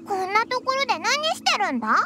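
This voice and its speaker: A woman voicing a tiny, blunt fairy companion in an anime game, with a childlike voice and rough boyish speech. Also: big pitch swings, quick pace, heavy background noise. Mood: curious. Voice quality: squeaky, childlike. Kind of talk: Japanese anime game voice acting. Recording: music behind the voice. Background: game music.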